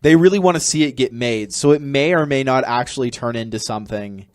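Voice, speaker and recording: A man co-hosting a podcast in a casual, halting chat, often correcting himself. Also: mid-range voice, dry recording, studio quality. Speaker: podcast co-host.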